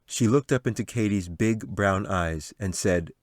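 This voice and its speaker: A man's voice: on the low side, unhurried, no room sound, clean studio sound. A man narrating a fantasy audiobook in a dramatic, scripted storytelling manner. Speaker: author reading his audiobook.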